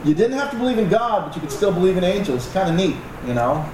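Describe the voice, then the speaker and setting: Joking pastor in an echoey hall. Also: male voice, high-pitched, sing-song, quick pace. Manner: conversational and joking, with asides to the congregation. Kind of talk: sermon. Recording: echoey room, distant mic, noisy.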